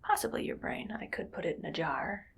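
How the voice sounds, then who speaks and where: A woman playing a bossy, musing female mad scientist in a whispered ASMR roleplay, speaking in a breathy, clinical, quietly menacing way. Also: deep voice, exaggerated voice. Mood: pondering, detached. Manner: slow musing, clinical, domineering. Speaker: ASMR performer.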